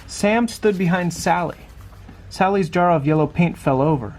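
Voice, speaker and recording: Male storybook reader, fairly high pitch, children's read-aloud, conversational asides. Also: moderate pace, darkish tone.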